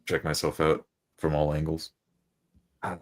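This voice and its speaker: Very deep-voiced man roleplaying. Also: little pitch movement, a little slow. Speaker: tabletop player. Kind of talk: roleplay, narrating and speaking in character.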